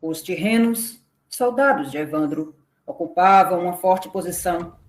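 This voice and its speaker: A woman's voice: on the low side, lively intonation, moderate pace, roomy sound. A woman narrating a scripted dramatic story as the narrator of an audio drama. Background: music.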